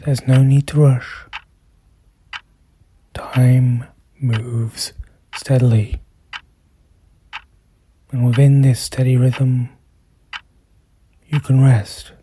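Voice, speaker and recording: A meditation guide speaking softly and soothingly, in measured phrases with long pauses and a breath that shows at times. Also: mid-range voice, little pitch movement, a little hiss or hum. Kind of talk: slow guided meditation narration.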